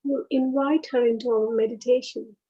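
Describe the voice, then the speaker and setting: A woman leading a guided meditation, speaking in short, unhurried phrases with pauses between them. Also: on the high side, steady tone, mellow, husky, lo-fi sound.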